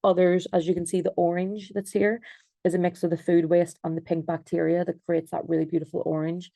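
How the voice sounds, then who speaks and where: A woman's voice: fairly low pitch, flat delivery, brisk, creaky, warm tone, dry recording. A young Irish woman giving a presentation over a video call, hesitant, with many fillers and restarts. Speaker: designer and researcher.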